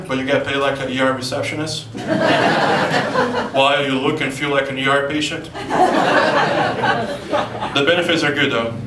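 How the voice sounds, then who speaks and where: A young man from Brazil with a Brazilian Portuguese accent, telling deadpan one-liners in a stand-up set at a comedy club. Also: medium pitch, very noisy. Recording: roomy club, far mic, loud crowd.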